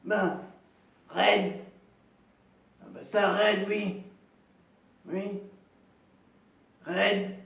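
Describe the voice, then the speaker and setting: A gravelly, raised-pitch woman in her fifties, a chain-smoker and heavy drinker who clings to her dignity, as a man puts her on. She drags through her comic monologue slowly, rambling and repeating herself. The sound is roomy and echoey, caught from a distance. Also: little pitch movement, a bit bright, exaggerated voice. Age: 50s. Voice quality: gravelly, deep voice. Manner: slow, rambling, repetitive, self-pitying. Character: gravelly 55-year-old woman, chain-smoking hard drinker, proud and lonely.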